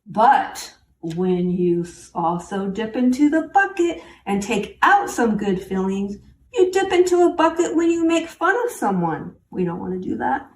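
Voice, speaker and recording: A woman's voice: medium pitch, sing-song, measured pace. A woman reading a picture book aloud to children, speaking simply and encouragingly and addressing young listeners directly. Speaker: children's story reader. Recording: roomy, distant mic, faint background noise.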